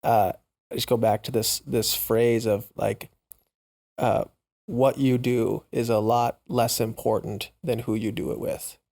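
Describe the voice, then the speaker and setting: Guy hosting a podcast, flat delivery w lots of vocal fry, thoughtful and halting, casual chat. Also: medium pitch. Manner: thoughtful, halting phrasing, frequent short affirmations. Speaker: podcast host. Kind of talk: casual reflective podcast conversation.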